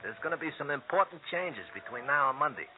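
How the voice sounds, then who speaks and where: A man's voice: a little high, animated, fast talker, lightly acted. A man playing a cocky, grudge-nursing small-time hoodlum in an American radio crime drama, with a sarcastic, slangy, falsely chummy manner and an American accent. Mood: menacing. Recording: tinny phone-like old radio recording, hissy.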